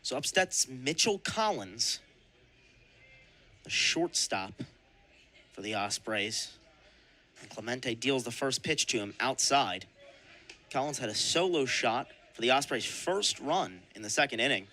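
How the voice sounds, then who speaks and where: A young man calling baseball play-by-play for radio in crisp announcer phrasing with punched-up calls. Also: mid-range voice, lively intonation, measured pace, pauses often, sharp tone. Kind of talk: live radio sports commentary.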